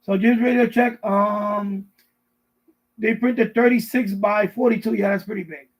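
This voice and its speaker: High-pitched, husky middle-aged man. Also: fairly even intonation, a little slow, low-quality recording. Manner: rambling, repetitive, answering viewers' messages. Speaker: live stream host. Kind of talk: casual live stream chat. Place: basement at home.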